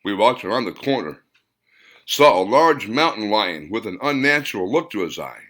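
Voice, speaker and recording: A man's voice: lowish voice, expressive, moderate pace, a bit bright. A man voicing an earnest male character who tells nostalgic, dramatic boyhood memories in an audiobook. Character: earnest male storyteller recalling boyhood summers with Grandpa.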